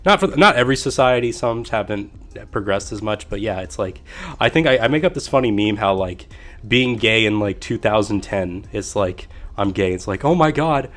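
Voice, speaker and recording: A man with a lowish voice hosts a podcast in an easygoing, affirming way. He speaks casually and drops in slangy asides. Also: expressive, moderate pace, fairly bright tone. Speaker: podcast host.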